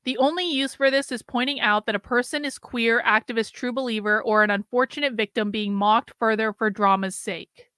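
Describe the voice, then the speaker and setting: A woman with a bright, forceful voice riffs bluntly over a clip, full of "like"s and the occasional curse. Her voice sits a touch high and sounds dry and close, with no room around it. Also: fairly even intonation, a little fast, smooth, dry recording. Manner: blunt, rambling asides with frequent "like" and swearing. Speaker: commentary host reacting to a clip. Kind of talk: casual reaction commentary.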